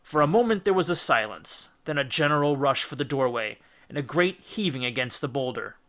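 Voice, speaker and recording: A man's voice: mid-range voice, expressive, fairly quick, fairly bright tone. A man reading an adventure story aloud as dramatic, scripted audiobook narration. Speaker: audiobook reader.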